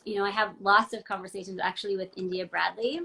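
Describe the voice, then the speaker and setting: A woman's voice: medium pitch, steady tone, quick pace, vocal fry, pushed and bright. A woman talking candidly and emphatically from home in a casual interview, with lots of "like" and "um". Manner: candid and emphatic, many fillers like "like" and "um". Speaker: interview guest, ballet dancer. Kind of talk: casual interview over a video call. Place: home, ground floor apartment.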